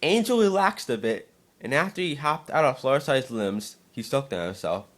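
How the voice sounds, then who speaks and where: A man narrating a scripted fan-fiction story as a dramatic reading, expressive but now and then stumbling over words. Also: medium pitch, normal speed.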